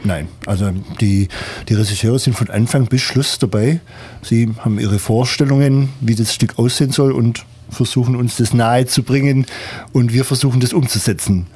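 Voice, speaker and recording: A man's voice: mid-range voice, normal speed, darkish tone, husky. A man from an amateur theatre group, a radio guest, explaining rehearsals in a plain, agreeable way.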